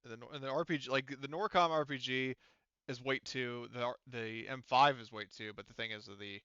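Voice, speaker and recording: Casting: male game master, mid-pitched and clear, rambling casual explanations, close dry room. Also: steady tone, bright, forceful tone, dry recording, muffled recording. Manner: halting, rambling, filler-heavy explanations. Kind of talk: casual tabletop game narration.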